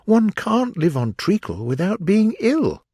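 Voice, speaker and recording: A man's voice: high-pitched, very animated, a little slow, warm tone, slightly rough, dry recording, voice pushed up, exaggerated voice. A man voicing a polite, curious, easily offended young girl who speaks earnestly in a storybook. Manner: earnest, questioning.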